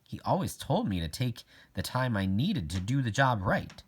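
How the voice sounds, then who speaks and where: A man's voice: medium pitch, big pitch swings, moderate pace, slightly rough. A man reading a children's novel aloud as a first-person narrator. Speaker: audiobook reader.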